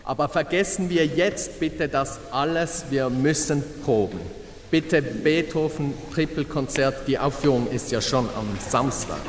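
An actor playing a shaken, formal orchestra manager making a halting, solemn speech to musicians in a radio drama. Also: male voice, mid-range voice, slight background noise, natural voice. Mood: businesslike, weary. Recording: echoey rehearsal hall with music behind.

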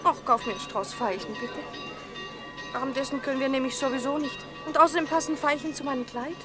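An actress playing a lively, wheedling young sweetheart in a 1960s German radio play, with a girlish, chattering manner. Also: high-pitched, fast, natural voice. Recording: 1960s radio recording, music behind.

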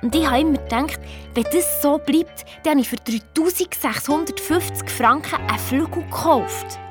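A woman's voice: high-pitched, moderate pace, music in the background, lightly acted. A woman playing a spirited, bickering young girl detective with a Swiss German accent, telling her adventure colloquially in a children's audio drama. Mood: worried. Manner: colloquial, animated storytelling with cheeky retorts.

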